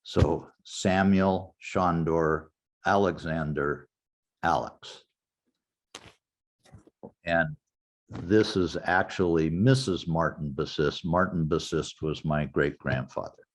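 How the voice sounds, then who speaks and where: An older man teaching a genealogy class in a relaxed, anecdotal way, walking the class through a website step by step. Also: very deep voice, slow pace, pauses often. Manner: anecdotal, conversational, walks through website steps. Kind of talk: informal lecture with screen demo.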